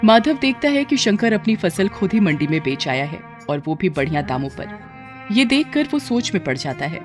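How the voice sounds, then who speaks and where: A woman's voice: medium pitch, very animated, moderate pace, music in the background. A woman narrating a scripted moral story as its storyteller.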